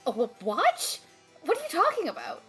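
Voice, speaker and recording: A woman improvising in a tabletop role-playing game, talking in a halting, stammering way. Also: sits high, wildly swooping pitch, over music. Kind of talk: improvised character acting and casual play.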